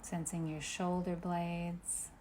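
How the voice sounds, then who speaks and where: A woman leads a guided meditation in a low voice. She speaks very slowly and gently, leaving long pauses between instructions. Ambient noise is clearly present behind her. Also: level tone. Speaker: meditation teacher.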